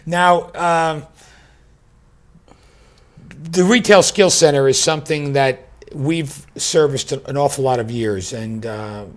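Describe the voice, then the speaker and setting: A man with a slightly high voice hosts a local interview show in the role of mayor. He speaks informally and conversationally, with bantering asides and short questions. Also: slow, long pauses.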